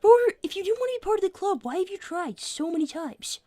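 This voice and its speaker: A woman's voice: extremely high pitch, animated, fast, dark tone, dry recording. A woman playing a smug, precocious young cartoon fox boy co-hosting an in-character livestream with matter-of-fact, needling chat. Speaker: livestream co-host.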